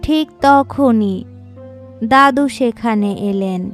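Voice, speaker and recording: A woman narrating a scripted children's moral story in a measured, instructive way. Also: fairly high pitch. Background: background music.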